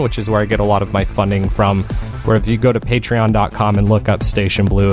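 A man speaking as an interview guest, chatting casually and enthusiastically in rambling explanations. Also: on the low side. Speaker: guest, fiction podcast maker.

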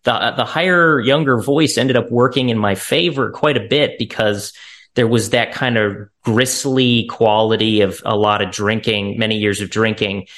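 A man's voice: fairly low pitch, fairly even intonation, normal speed, a bit bright. A young man with a youthful voice, an actor talking casually in an interview. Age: young adult. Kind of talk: casual chat.

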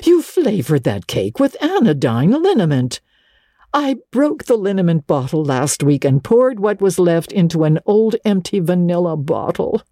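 A woman's voice: on the low side, sing-song, a little slow, warm tone, no room sound, studio quality. A woman voicing a stern, exasperated guardian in a storybook, scolding with emphatic, scandalized delivery. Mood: shocked, scolding.

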